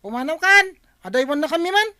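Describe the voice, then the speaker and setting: A woman's voice: high-pitched, expressive, fairly quick, pushed and bright. A woman playing a defiant teenage girl in a comedy drama.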